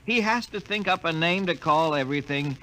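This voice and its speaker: A man's voice: fairly high pitch, animated, a little fast, pushed and bright, slight background noise, poor audio. An actor playing a hesitant, flustered father in a 1950s radio drama.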